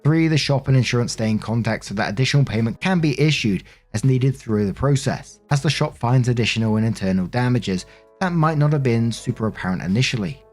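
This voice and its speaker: Man, mid-pitched voice, conversational story reader, plainspoken delivery, music playing behind. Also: a little fast, darkish tone. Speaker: narrator and host of a story-reading show. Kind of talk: reading online stories aloud with casual commentary.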